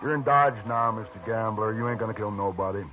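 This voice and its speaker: A man's voice: lowish voice, quick pace, lightly acted. A man playing a cocky taunting card player. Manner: swaggering, taunting. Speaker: actor in a radio western. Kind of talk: 1950s radio drama acting. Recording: tinny old radio transcription with music.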